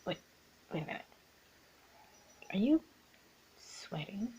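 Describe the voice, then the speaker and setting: A woman's voice: medium pitch, very animated, slow pace, mellow, a little hiss or hum. A woman playing a teasing, bossy young art student in an improvised ASMR roleplay, often slipping into a half-whisper. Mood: sly teasing.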